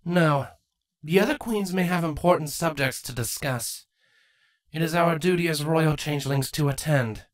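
A woman voicing a regal, protective changeling queen mother in a measured, formal and grave manner for a fantasy audiobook. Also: sits low, natural voice. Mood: firm. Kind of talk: acted fan fiction audiobook dialogue.

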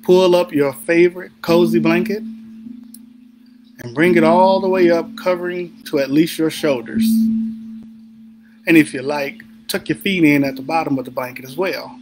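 A man with a fairly high voice leads a guided sleep meditation. He speaks soothingly and deliberately, leaving room between phrases. Music plays clearly behind him. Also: low-quality recording. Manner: slow, soothing, deliberate pauses. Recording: background music under the voice.

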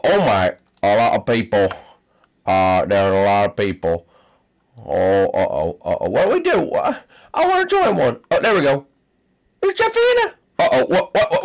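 A man's voice: mid-range voice, voice pushed down. A man voicing a babyish, whiny young boy character in broken baby talk while playing a game. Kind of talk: gameplay commentary in character.

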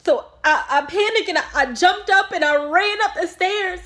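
A woman's voice: a little high, steady tone, a little slow, vocal fry, a bit bright. A woman performing a dramatic monologue as a grieving, defensive mother, speaking in emphatic, pointed phrases. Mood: distraught. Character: grieving, defensive mother questioned about her sanity.

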